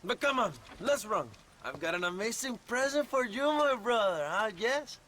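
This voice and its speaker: A man playing a pompous, lecherous Mexican rebel leader in a video game, theatrical and flattering, with a Mexican accent. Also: high-pitched, moderate pace, slight background noise. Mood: grand, excited. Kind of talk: video game cutscene acting.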